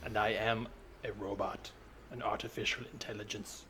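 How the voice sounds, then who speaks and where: Man playing an earnest killer robot, bright-voiced, noisy recording. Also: on the low side, little pitch movement, lightly acted. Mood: grandly earnest. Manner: halting, earnest, self-important declarations. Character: stoic, literal-minded robot from the future disguised as a girl. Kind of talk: improvised comedy podcast scene.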